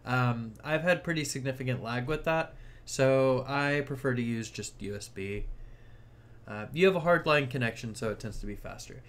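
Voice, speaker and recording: Man, mid-pitched voice, casual tutorial host, informal, explanatory, step-by-step screen-share narration. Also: normal speed, fairly bright tone.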